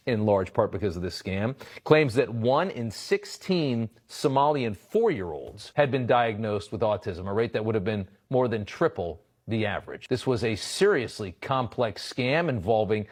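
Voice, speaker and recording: A man presenting a news segment with a measured, emphatic read. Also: mid-range voice.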